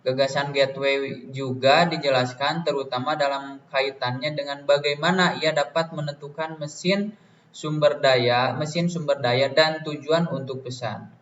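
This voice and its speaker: A young man presents like a university student working through his notes, slowly and haltingly. His voice sits a little high for a man. It comes across distant, in an echoey room. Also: fairly bright tone. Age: young adult. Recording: dull, distant, roomy, faint noise.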